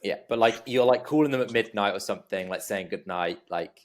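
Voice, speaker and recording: Low-pitched Englishman, casual and hesitant. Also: brisk. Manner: hesitant, repeats "like". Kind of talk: casual banter chat.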